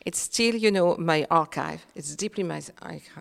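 A woman from Réunion, a Creole speaker, speaking earnestly as an interview guest, halting with many fillers and restarts. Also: lowish voice, creaky, long reverb tail. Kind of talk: reflective conversational interview.

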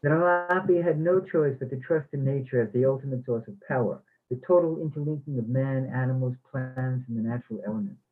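A man reading a reflective passage and a stubborn husband's lines, calm and measured. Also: mid-range voice, lightly acted. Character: stubborn husband who wanted to stay. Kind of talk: readers theatre. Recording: tinny phone-like sound.

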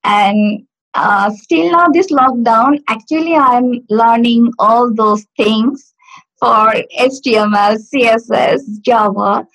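A woman from Bangladesh with a fairly high voice, speaking English as a second language after Bangla. She talks in a halting way, with many fillers and restarts. She is heard on a video call from home. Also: a bit bright, rough recording. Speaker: learner. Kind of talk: casual video-call interview. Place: home in Stockport.

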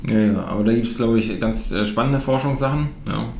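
A man's voice: lowish voice, brisk, creaky, a little mellow. A man from northeastern Germany hosting a podcast, talking casually with lots of fillers and tag questions. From Mecklenburg-Western Pomerania, eastern Germany. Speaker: podcast host. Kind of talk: casual conversation. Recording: roomy with faint noise.

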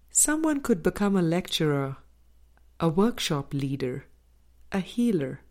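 Slow, low-voiced woman reading an audiobook. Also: darkish tone. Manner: measured, deliberate phrasing with frequent pauses. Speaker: audiobook reader. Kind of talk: scripted audiobook narration of a spiritual dialogue.

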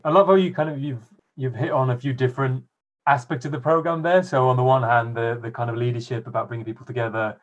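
A man hosting a podcast interview in a relaxed, conversational style. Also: medium pitch, fast talker, lo-fi sound. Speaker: podcast host.

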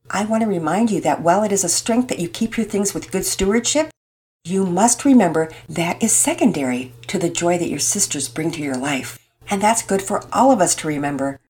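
A woman voicing a patient, gentle mother figure who speaks calmly and explains things like a teacher. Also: lowish voice, close to an everyday voice. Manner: calm, warm, teaching tone.

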